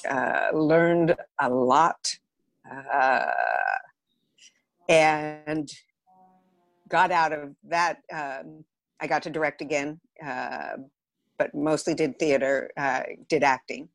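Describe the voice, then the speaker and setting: A woman's voice: low-pitched, fairly even intonation, slow pace, long pauses, no room sound. A woman, telling stories candidly and with self-deprecating humour as a guest in a casual interview. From Los Angeles area, United States. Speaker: podcast guest, theatre director.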